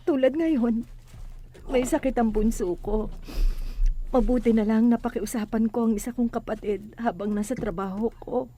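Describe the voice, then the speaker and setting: A woman's voice: fairly high pitch, normal speed, mellow, slight background noise, natural voice. An actress playing a despairing abandoned wife and mother, plaintive and emotional, in a religious radio drama. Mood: weary, worried.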